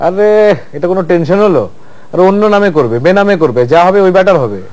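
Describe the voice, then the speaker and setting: Teasing bogus inventor, TV comedy guest. Bangladeshi accent, rapid colloquial patter, a little high for a man. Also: lively intonation, darkish tone. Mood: advising. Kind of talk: scripted comic interview.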